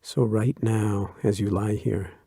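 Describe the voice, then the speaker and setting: A man leading a guided body scan meditation, speaking slowly in a soft, soothing way. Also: fairly low pitch, steady tone, creaky, slightly rough, no echo, studio quality. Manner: slow, soft-spoken and soothing.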